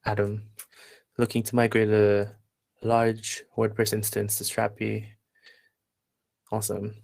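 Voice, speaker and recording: A man from Zambia hosting a live online workshop, chatting casually and haltingly as he reads out attendees' replies. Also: fairly low pitch, monotone, vocal fry, a little mellow. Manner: unhurried, halting, reading out chat replies. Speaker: workshop instructor and host.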